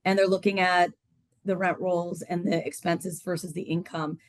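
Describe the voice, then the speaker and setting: A woman's voice: on the low side, flat delivery, normal speed, no echo. A woman hosting an interview in a conversational style, putting questions to a guest. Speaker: host interviewer.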